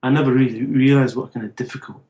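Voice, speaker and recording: A man's voice: mid-range voice, steady tone, quick pace, mellow, low-quality recording. Scottish man, middle-aged, podcast guest, chatty and dry-humored, mid-range voice. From Scotland. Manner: chatty, wry storyteller with a dry joking humor. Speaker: guest, comic book writer. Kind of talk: casual interview chat.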